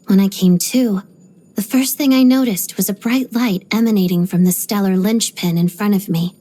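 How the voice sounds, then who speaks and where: An actress voicing a reserved, stoic young ballerina in a video game, speaking softly and breathily in a measured, restrained way. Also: female voice, medium pitch, natural voice. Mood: calm, reflective. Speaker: video game character voice actor. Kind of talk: scripted game voice lines, introspective monologue.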